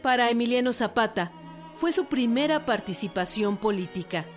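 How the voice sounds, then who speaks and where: A woman's voice: on the high side, animated, moderate pace, soft background music. A woman with a Mexican accent giving scripted historical narration in a radio drama.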